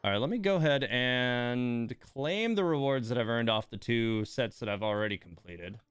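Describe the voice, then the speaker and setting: A man's voice: mid-range voice, lively intonation, normal speed, a bit bright, clear, resonant tone, no echo, clean studio sound. A man giving casual live commentary as he plays a game, muttering and grumbling his reactions. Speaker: game streamer.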